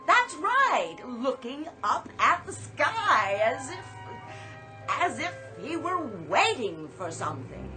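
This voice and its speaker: An actress playing a suspicious, gossiping neighbor in a radio drama, a hesitant accuser who pauses dramatically. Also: female voice, sits high, big pitch swings, heavily acted. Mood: accusing, uneasy. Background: background music.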